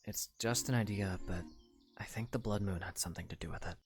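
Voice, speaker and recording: A man voicing a young male hero in a fan audio drama, earnest and protective, speaking gently and with care. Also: low-pitched, soft background music. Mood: thoughtful. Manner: gentle, caring, measured. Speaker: voice actor.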